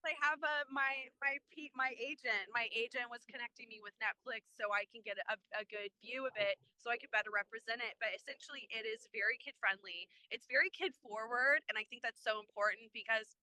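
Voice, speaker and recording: A woman's voice: a little high, brisk, hardly any pauses, bright, forceful tone, dry recording, rough recording. A woman landscaper speaking as a panel guest in a casual chat, enthusiastic and rambling, with many fillers.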